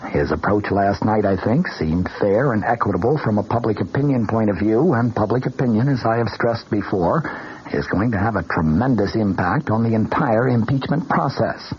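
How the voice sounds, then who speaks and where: A man giving formal, measured news commentary in the style of 1970s radio. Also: lowish voice, expressive. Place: radio studio. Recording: muffled, faintly noisy vintage radio broadcast.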